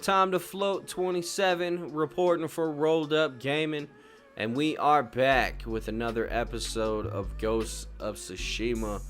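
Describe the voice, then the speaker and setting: A man's voice: medium pitch, fairly even intonation, measured pace, fairly bright tone. A man hosting a gaming channel with casual walkthrough commentary, brash, boastful and sweary in slangy phrasing. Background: music.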